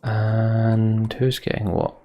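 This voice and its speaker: A man's voice: fairly low pitch, monotone, slow, muffled recording. A young man thinking aloud as he plays a game, muttering to himself. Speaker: let's play host. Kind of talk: gameplay commentary.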